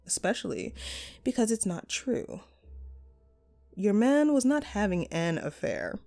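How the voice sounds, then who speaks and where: Slow, swooping vengeful actress villainess voiced by a woman. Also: medium pitch, big pitch swings, creaky, mellow, faint music behind. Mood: mocking, bitter. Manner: mocking, theatrical, menacingly playful. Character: vengeful jilted actress, a sadistic hostage-taker playing director. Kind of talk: dramatic horror audio roleplay.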